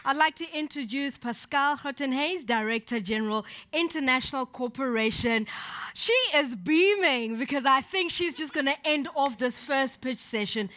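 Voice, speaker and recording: A woman's voice: high-pitched, normal speed, few pauses, sharp tone. A woman hosting a live event on stage, bubbly and exclamatory as she cheers on the speakers. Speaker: event host. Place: event hall with audience. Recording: noisy hall with crowd.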